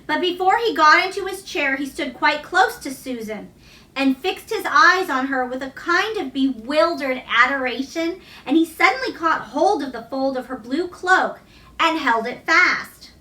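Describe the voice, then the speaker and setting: Casting: female children's story reader, high voice, roomy echoing sound. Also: animated, measured pace, pushed and bright. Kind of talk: read-aloud children's story narration. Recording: roomy echo, distant microphone.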